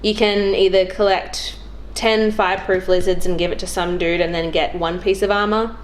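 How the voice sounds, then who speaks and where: A woman's voice: mid-range voice, flat delivery, normal speed. A young woman chatting casually about video games as a guest on a show. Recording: clearly noisy background.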